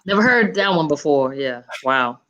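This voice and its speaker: A woman's voice: low voice, lively intonation, moderate pace, fairly bright tone, dull audio. A woman hosting a casual, chatty interview, encouraging her guests and echoing what they say. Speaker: show host interviewing guests.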